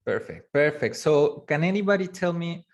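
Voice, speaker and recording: A man whose first language is Spanish, teaching a live online course, talking in a halting way with many fillers and restarts. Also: fairly high pitch, lively intonation. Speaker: course instructor. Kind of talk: live online class lecture.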